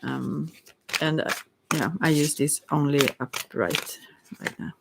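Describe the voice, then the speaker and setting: A tarot reader talking casually and hesitantly, thinking aloud while answering questions, in a soft voice that at times drops to a whisper. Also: mid-range voice, fairly even intonation, slow pace, vocal fry, heavy background noise. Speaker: tarot reader hosting a live reading.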